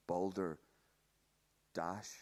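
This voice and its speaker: A man's voice: deep voice, little pitch movement, very slow, darkish tone. Sardonic male precinct detective, radio play, formal and pedantic, dry delivery. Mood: dry, teasing. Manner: formal, clipped wording, dryly teasing.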